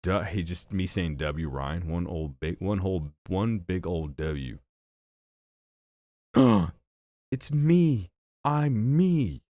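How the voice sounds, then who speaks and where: A man's voice: slow pace, pauses often, dry recording, clean studio sound. A young man streaming games and chatting casually, rambling and joking at his own expense, with a stuffy nose and hiccups. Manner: rambling, self-mocking, animated with sudden jumps in pitch. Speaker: streamer and gaming channel host. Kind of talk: casual live-stream chat.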